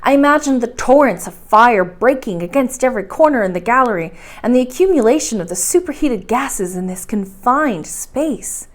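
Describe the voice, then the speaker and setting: Female storyteller, fairly high voice, lively intonation, bedtime-story narration. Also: normal speed, few pauses. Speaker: storyteller reading aloud. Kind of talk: expressive scripted audiobook narration.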